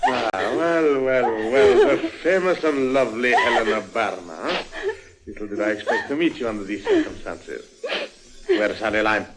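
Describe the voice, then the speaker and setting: An actor playing a smug, menacing border police inspector, authoritative and gloating, in an old radio drama. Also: male voice, mid-range voice, huge pitch swings, moderate pace. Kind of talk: 1950s radio drama acting. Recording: noisy vintage radio recording.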